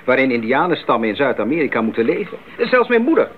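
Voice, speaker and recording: An actor playing a determined, curious young male missionary in a 1980s radio drama, with earnest, clear phrasing. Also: mid-range voice, very animated, fast talker, close to an everyday voice. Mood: reflective. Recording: narrow-band 1980s radio recording, faint noise.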